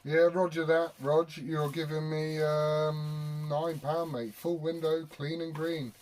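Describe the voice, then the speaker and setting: A man's voice: on the high side, level tone, takes it slowly, darkish tone, a little hiss or hum. A British man chatting casually as a CB radio operator, blokey and sweary in his talk. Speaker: CB radio operator and video maker.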